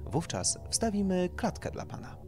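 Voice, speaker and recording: A man's voice: high voice, sing-song, moderate pace, mellow, faint music behind, voice pushed up. A man voicing a polite female clinic receptionist with rehearsed courtesy in an audio story. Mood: politely rehearsed. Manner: courteous, unconvinced customer-service politeness.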